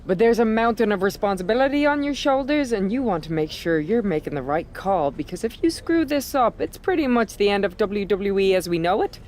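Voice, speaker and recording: An actress plays a brash veteran woman wrestler in a video game story scene. Her voice sits at a middle pitch and she talks with persuasive, cocky confidence. Faint ambient noise runs behind her. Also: lively intonation, a little fast, hardly any pauses, a little mellow, close to an everyday voice. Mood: teasing, pushy. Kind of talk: scripted video game cutscene dialogue.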